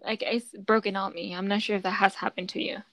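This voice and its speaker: A woman's voice: medium pitch, fairly even intonation, fast talker, fairly bright tone, dry recording. A woman hosting a casual podcast chat, explaining at length with lots of fillers and restarts. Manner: chatty, explaining with many fillers. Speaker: podcast host.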